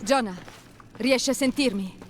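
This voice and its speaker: A woman's voice: a little high, normal speed, slight background noise, natural voice. A woman playing a determined young adventurer in an Italian-language video game. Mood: tense, focused.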